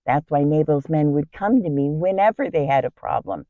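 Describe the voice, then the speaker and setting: A woman's voice: low-pitched, animated, normal speed, no room sound, narrow, tinny audio. A woman playing a kind, brave biblical wife in an earnest, theatrical dramatic monologue. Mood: earnest, reflective. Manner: theatrical, earnest, emphatic storytelling. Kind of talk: dramatic first-person Bible monologue.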